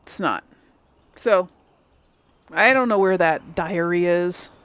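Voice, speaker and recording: A woman's voice: on the low side, slow pace, fairly bright tone, clear, resonant tone, no room sound. A woman hosting a let's play, chatting casually to herself and her viewers as she comments on the game.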